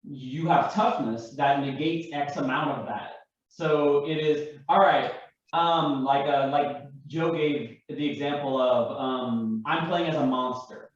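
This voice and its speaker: A man's voice: on the high side, slow. A man on a panel explaining his hobby in casual, emphatic talk, often checking that listeners follow. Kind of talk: casual, animated explanation with acted-out examples. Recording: very echoey, dull, distant room audio.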